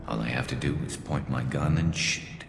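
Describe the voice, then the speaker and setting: A man voicing a wry male alien sniper vigilante in a video game cutscene, speaking in a deadpan, dry way. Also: rumbling low, moderate pace, gravelly, soft background music. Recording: reverberant game mix with score.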